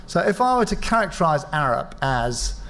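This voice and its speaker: Measured, reflective man on a panel. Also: fairly high pitch, very animated, fairly bright tone. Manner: measured, reflective, self-deprecating. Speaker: engineering firm panelist. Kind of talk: panel talk. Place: event space with live audience.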